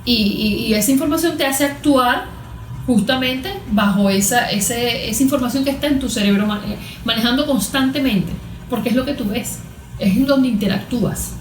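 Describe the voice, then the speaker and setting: A Venezuelan woman explaining as an expert guest in conversation, halting and repeating words, often posing rhetorical questions. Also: fairly high pitch, faint music behind. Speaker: cognitive therapist, interview guest. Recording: echoey room with background noise.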